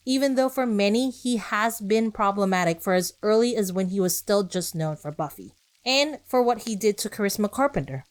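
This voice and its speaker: A woman hosting a podcast, reading scripted narration with conversational asides, rhetorical questions and short emphatic phrases. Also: a little high. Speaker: podcast host.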